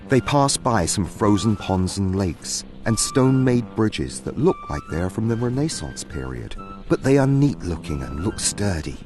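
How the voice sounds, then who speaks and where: Deep, expressive male storyteller. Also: unhurried. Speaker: story narrator. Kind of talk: scripted fantasy story narration. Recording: music behind.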